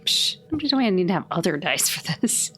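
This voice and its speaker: A woman's voice: fairly low pitch, big pitch swings, fairly quick, sharp tone. Woman playing an rpg, blunt wry casual talk, light music behind her. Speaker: tabletop game player. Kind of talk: casual in-character game chat.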